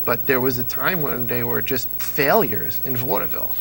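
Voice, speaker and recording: A man's voice: mid-range voice, moderate pace, creaky, a bit bright, slight background noise, poor audio. A man in his thirties chatting with enthusiasm and telling anecdotes as an interview guest. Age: 30s. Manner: enthusiastic, chuckles while talking, acts out quotes. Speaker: interview guest, old-time radio enthusiast.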